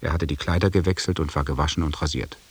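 An actor playing an expedition member who soberly narrates events in a German audio drama, matter-of-fact and scripted. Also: male voice, a bass voice, quick pace, gravelly, husky, recorded from a distance, exaggerated voice.

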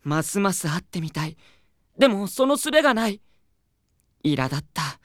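Proud, animated young male idol. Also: high voice, normal speed, dry recording, studio quality. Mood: reflective narration. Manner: indignant, earnest, theatrical. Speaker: lead character and narrator. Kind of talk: anime audio drama acting with first-person narration.

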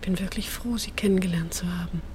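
An actress in a radio drama playing a refined, compassionate lady who speaks politely, earnestly and softly. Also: on the low side, fairly even intonation, brisk, slight background noise, close to an everyday voice. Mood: gentle, earnest. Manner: polite, earnest, soft-spoken.

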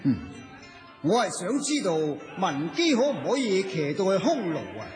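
Very high-pitched gallant suitor. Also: male voice, wildly swooping pitch, normal speed, darkish tone, in a large hall, faint music behind. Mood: hopeful. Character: ardent, gallant male nobleman suitor, theatrical. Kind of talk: Cantonese radio drama acting.

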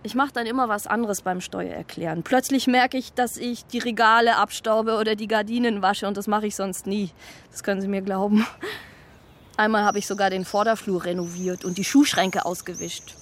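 A woman's voice: mid-range voice, fairly even intonation, moderate pace, natural voice. An actress playing a scatterbrained, self-justifying woman in a naturalistic radio drama monologue, rambling and questioning herself as she goes. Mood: apologetic, digressing.

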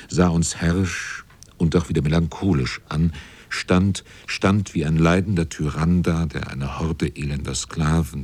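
An actor playing a sailor who narrates a radio drama in a grave, measured, brooding literary manner. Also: male voice, rumbling low, darkish tone, breathy edge, full character voice. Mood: grave, tense. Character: brooding sailor narrator looking back on the crew.

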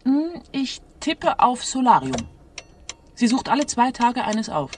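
A woman's voice: on the high side, sing-song, unhurried, slight background noise. An actress playing an eager, naive young woman training as a private detective in a radio crime comedy, chatty and earnest. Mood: chatty, self-assured.

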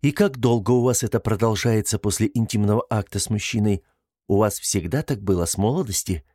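A man's voice: fairly low pitch, fairly quick, no room sound, lightly acted. Man as a probing female investigator, dry sound. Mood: gentle, probing. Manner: soft, calm, questioning. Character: female investigator, probing, firm yet sympathetic.

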